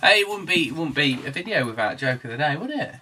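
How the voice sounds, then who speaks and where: A man's voice: fairly high pitch, very animated, fast, noisy background. A man, chatting casually and joking with his wife as he co-hosts a boat vlog. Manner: jokey, banters with his wife. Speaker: vlog co-host. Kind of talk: casual chat. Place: outdoors by a canal, then inside a narrowboat.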